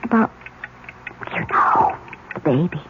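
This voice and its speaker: A woman acting an anxious, tender young wife in a radio drama, gentle and tentative, with an American accent. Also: slow pace, highs cut off, lightly acted. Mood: gently concerned. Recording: thin, noisy old radio recording.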